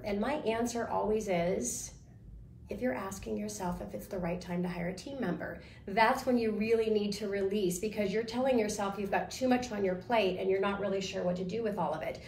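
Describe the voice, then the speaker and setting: A woman's voice: mid-range voice, darkish tone. Coaching woman host in an echoey room. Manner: coaching, encouraging, rambling. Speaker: talk show host. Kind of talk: casual interview on a live stream. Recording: echoey room with faint noise.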